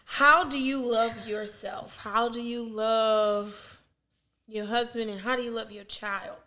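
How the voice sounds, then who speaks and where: A woman's voice: fairly high pitch, fairly even intonation, slow pace, pushed and bright. A young adult woman co-hosting a casual podcast chat, frank and reflective, laughing often. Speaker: podcast co-host.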